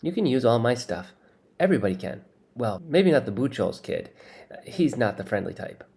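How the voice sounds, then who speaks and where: A man's voice: mid-range voice, very animated, moderate pace, gravelly, darkish tone. A man voicing a smug, breezy teenage boy who likes breaking rules, in an audiobook story. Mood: casual. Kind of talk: acted audiobook dialogue.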